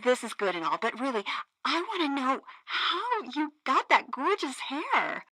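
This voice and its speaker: A woman's voice: high-pitched, very animated, unhurried, bright, forceful tone, no echo, heavily acted, low-quality recording. An actress playing a perky, earnest young female co-host on a satirical radio news show in a video game, chirpy and presenterly. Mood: curious.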